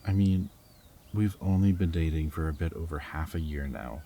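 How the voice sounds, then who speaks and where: A man's voice: a bass voice, moderate pace, mellow. A man playing a goofy, affectionate young rebel scientist in an intimate roleplay audio drama, talking tenderly and earnestly to his partner. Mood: worried. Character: goofy, affectionate young male rebel lab scientist and anxious foster parent.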